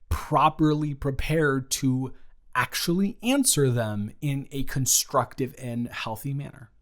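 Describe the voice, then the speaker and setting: A man's voice: mid-range voice, lightly acted. Rambling, teasing best friend, a man plays him. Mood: earnest. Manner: rambling, teasing, self-correcting. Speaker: audio roleplay creator. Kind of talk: improvised audio roleplay.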